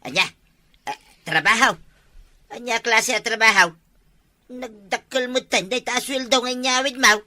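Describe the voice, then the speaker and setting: A man's voice: extremely high pitch, measured pace, pauses often, bright, forceful tone, no room sound, exaggerated voice. A man with an Ilocano accent playing a teasing, sly villager in a comic drama.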